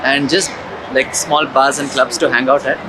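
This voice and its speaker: A man's voice: mid-range voice, fairly even intonation, normal speed, noisy background. A man giving casual, explanatory travel commentary as a vlogger outdoors. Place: outdoors on city streets and waterfront.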